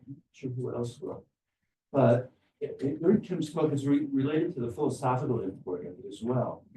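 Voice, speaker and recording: An older man giving informal, rambling remarks to a gathering, often halting and restarting his phrases. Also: mid-range voice, mellow. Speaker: academic philosopher and speaker at a conference. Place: echoey room at a conference. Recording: roomy, distant microphone, faint background noise.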